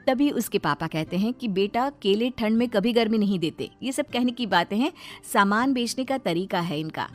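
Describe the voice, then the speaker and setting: A woman narrating a cartoon story in a steady storytelling voice, with soft music behind. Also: medium pitch, moderate pace, hardly any pauses.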